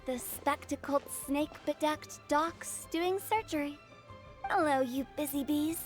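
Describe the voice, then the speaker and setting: A high, bright-voiced young woman, played by an actress running a funeral parlor, teasing and mischievous in every line. She speaks with playful rhymes and sly amusement. Soft game music sits under her voice. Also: lightly acted. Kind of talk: game voice acting.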